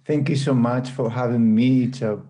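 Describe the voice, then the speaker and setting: A man who grew up in Spain, speaking English as an interview guest, halting and laughing often. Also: mid-range voice, fairly even intonation, dark tone. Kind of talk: conversational interview.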